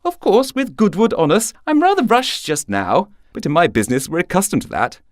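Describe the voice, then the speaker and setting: A man voicing a brisk, self-possessed young woman milliner in a story, breezy, witty and talkative. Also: sits high, very animated, dry recording, studio quality, pitched above the performer's own voice. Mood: breezy, amused.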